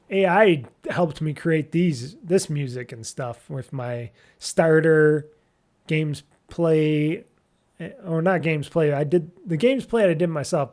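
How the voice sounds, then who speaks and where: A man's voice: fairly high pitch, a little mellow. A man hosting a gaming podcast, giving casual, rambling solo news commentary in an informal, mildly profane way.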